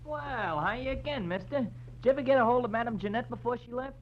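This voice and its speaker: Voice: mid-range voice, fast talker, close to an everyday voice. A performer playing an eager, friendly young newsboy, chatty and gee-whiz, in 1940s radio style. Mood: eager, curious. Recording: muffled old radio recording, faint hum.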